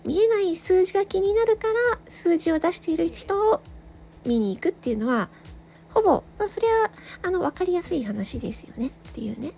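A woman hosting a solo audio show, chatting casually and reflectively to listeners, thinking aloud with hesitant pauses. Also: extremely high pitch, big pitch swings, gravelly, dark tone. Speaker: solo audio channel host, amateur voice actor.

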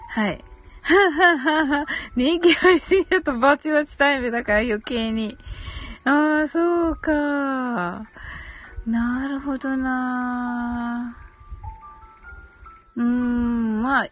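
High-voiced woman chatting over music. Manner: polite, agreeable, frequent backchannel murmurs. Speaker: live audio stream host reading listener comments. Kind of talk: casual live-stream chat. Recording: music playing behind the voice. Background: background music.

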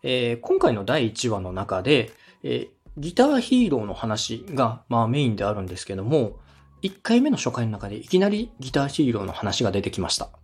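Lively male coach with a slightly husky voice. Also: mid-range voice, a little fast. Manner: polite, explanatory, step-by-step, acts out examples. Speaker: radio director and podcast host giving feedback. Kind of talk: structured coaching talk on a podcast.

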